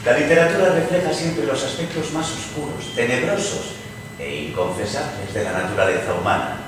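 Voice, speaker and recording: A man's voice: medium pitch, expressive, normal speed, close to an everyday voice. Brooding aristocratic poet male actor, theatrical, sweeping intonation, off-mic in a noisy reverberant hall. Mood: dark relish. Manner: theatrical, emphatic. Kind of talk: theatrical radio drama reading. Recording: echoey hall, distant mic, background noise.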